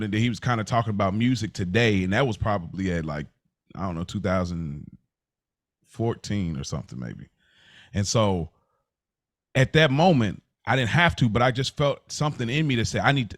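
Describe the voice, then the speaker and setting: A man's voice: on the low side, animated, moderate pace, no echo. Man telling stories casually, dry podcast sound. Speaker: podcast co-host. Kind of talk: casual conversational storytelling.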